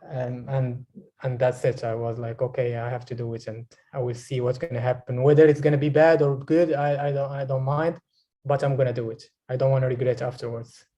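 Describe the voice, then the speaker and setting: A young man from Morocco talks over a video call, his voice mellow and on the darker side. He pauses often and restarts his phrases as he looks for the right words, at a middle pitch. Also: little pitch movement. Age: 20s. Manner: hesitant, searching for words with many restarts. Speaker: guest filmmaker.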